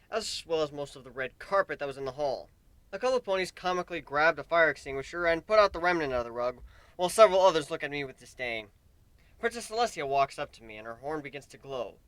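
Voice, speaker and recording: A man reading a first-person story aloud in a theatrical, exasperated way, dropping low and bursting loud. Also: dry recording. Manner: theatrical, exasperated delivery, swinging from hushed to shouted. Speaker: story reader.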